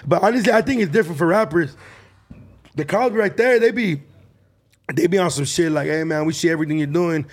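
A man's voice: on the high side, brisk. A man of twenty-two interviewing a guest, asking slangy questions and joking around. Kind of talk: casual interview chat.

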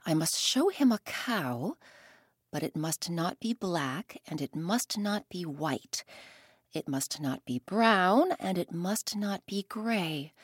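A woman playing a calm, wise village woman. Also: mid-range voice, a little slow, no room sound, studio quality. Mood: pondering.

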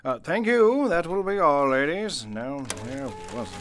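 A man's voice: on the high side, expressive, unhurried, a bit bright, slight background noise. A man playing a blustering, absent-minded male boss in an old-time radio play, flustered and hesitant in his speech.

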